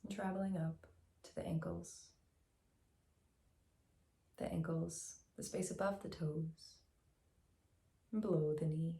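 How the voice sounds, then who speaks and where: A woman guiding a meditation as a teacher, speaking in a gentle, soothing, unhurried way with long pauses, in a slightly echoey room. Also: on the low side, fairly even intonation, creaky. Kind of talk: guided meditation, soft instructional narration.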